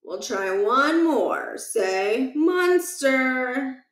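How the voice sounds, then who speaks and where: A woman voicing a bored, tired male school photographer in a storybook, with a flat and weary manner. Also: sits high, very slow, reverberant, heavily acted.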